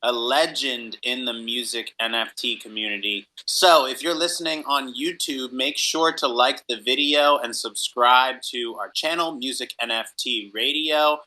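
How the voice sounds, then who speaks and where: Bright, forceful male podcast host. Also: medium pitch, a little slow, few pauses. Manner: enthusiastic, casual slangy. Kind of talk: upbeat podcast hosting.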